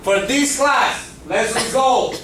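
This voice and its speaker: Physics teacher, male, Cuban accent, high voice, heard from far off in an echoey classroom. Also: slow pace, pushed and bright, a little hiss or hum. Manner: checks in with students often. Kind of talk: classroom lecture.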